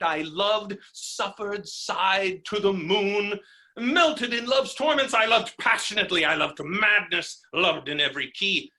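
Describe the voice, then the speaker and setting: Man acting a blustering boor, very sharp and forceful. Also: sits high, full character voice. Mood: melodramatic scorn. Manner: theatrical, declamatory, mocking, blustering. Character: blustering, boorish, melodramatic man railing against women. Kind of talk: staged reading of classic stage comedy.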